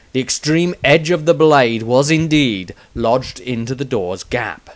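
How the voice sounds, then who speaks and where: A man's voice: medium pitch, dry recording. Man dramatically narrating fantasy, dry close sound. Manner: measured, suspenseful storytelling. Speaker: author reading his own story.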